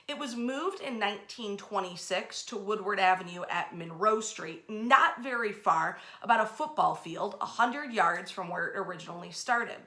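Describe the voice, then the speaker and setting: A woman in her thirties hosting casual history storytelling to camera, with an emphatic, expressive delivery. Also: medium pitch. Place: bedroom, indoors. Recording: roomy, echoey indoor sound.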